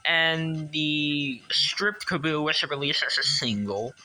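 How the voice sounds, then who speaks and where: Bright-voiced man giving a casual toy review. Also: a little high, fairly even intonation, soft background music, poor audio. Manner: halting, repetitive, enthusiastic. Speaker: toy collector reviewing a diecast car.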